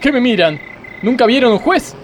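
Guy doing a pompous argentine judge moaning and speechifying in a radio sketch, pretty high voice, bit of bus noise. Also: lively intonation, normal speed. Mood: pompous, self-pitying. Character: self-pitying, pompous, exasperated Supreme Court judge. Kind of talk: comic radio play acting. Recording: faint vehicle and street noise behind.

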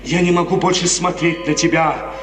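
An actor playing an impulsive, lovesick and imperious young prince, impatient and theatrical in 1950s radio drama style. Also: male voice, on the high side, natural voice. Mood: lovesick, anxious. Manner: theatrical, excitable, hurried commands. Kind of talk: 1950s Russian classical radio drama acting. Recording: reverberant old radio recording, distant, music behind.

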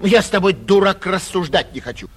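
A man playing a vain, petulant, cowardly young gentleman in a 1940s theatrical radio play, whining and indignant in a husky voice, with long reverb on the old recording. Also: high-pitched, lively intonation, brisk, a little hiss or hum. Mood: haughty, indignant. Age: young adult.

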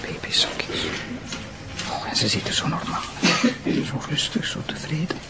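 A woman's voice: moderate pace, whispered, lightly acted. Earnest Flemish woman prosecutor played by an actress. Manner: polite, probing. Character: earnest, assertive female deputy prosecutor. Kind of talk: radio drama acting.

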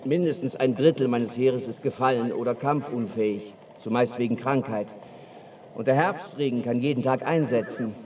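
A man plays a resolute medieval warrior king in a radio play. His voice sits at a middle pitch, with faint outdoor noise behind it. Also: moderate pace, a little mellow, distant mic, lightly acted. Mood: grave, resolute. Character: resolute medieval English king weighing his war campaign. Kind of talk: radio drama monologue.

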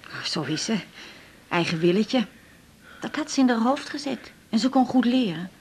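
An actress from the Netherlands playing a homely, fussing, lonely older mother in a Dutch radio drama, with a husky voice. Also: a little high, lively intonation, normal speed, a little mellow, slight background noise, natural voice. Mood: reserved, fond. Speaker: actor playing the doctor's mother. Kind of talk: naturalistic radio drama dialogue.

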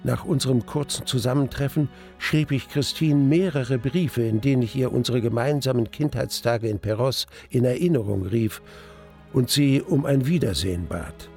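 A man playing a wistful young gentleman who narrates his memories in a measured, reflective way in a radio drama. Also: medium pitch, natural voice. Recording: music under the voice.

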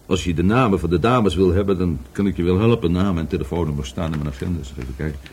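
An actor playing an evasive, volatile middle-aged businessman questioned by police in a radio play, husky, stammering and repeating his words. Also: low-pitched, fast talker, a little mellow. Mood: defensive, wry. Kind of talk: Dutch radio play acting.

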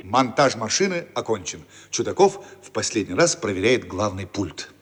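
Animated male narrator over prominent music. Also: on the low side, normal speed. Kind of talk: dramatic scripted narration of stage directions.

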